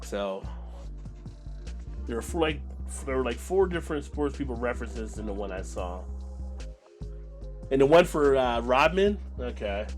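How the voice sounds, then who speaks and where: A man's voice: medium pitch, expressive, measured pace, long pauses, faint music behind. An American man hosting a casual live stream, talking to viewers in a rambling, conversational way. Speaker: beer channel live stream host.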